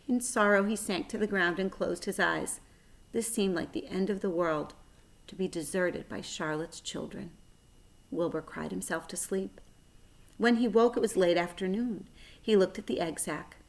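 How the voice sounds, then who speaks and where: A woman narrating a children's story aloud in a measured, expressive way. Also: lowish voice, gravelly.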